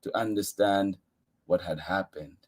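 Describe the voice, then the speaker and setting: Male story-time host, unhurried delivery, reading a picture book with dramatic, expressive emphasis. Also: sits low. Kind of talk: expressive read-aloud storytelling.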